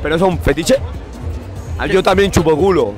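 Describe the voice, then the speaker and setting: A man's voice: on the high side, normal speed. A young man with a Spanish accent from Spain, asking blunt, teasing questions into a handheld mic in an outdoor park at night. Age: young adult. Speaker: street interviewer. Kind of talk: provocative street interview. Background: festival music and crowd.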